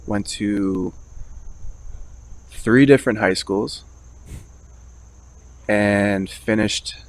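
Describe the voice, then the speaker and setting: A man's voice: on the low side, drawn-out words, no room sound. A man who grew up in Brooklyn, a guest in a casual interview, answering in an easygoing and friendly way. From Brooklyn, New York. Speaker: guest, fitness business owner.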